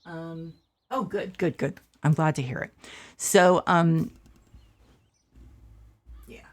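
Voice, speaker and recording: A middle-aged woman streamer, hoarse from losing her voice, chatting softly and supportively, with many pauses and restarts. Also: deep voice. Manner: soft and hesitant, many fillers and restarts. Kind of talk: casual supportive chat during a game stream.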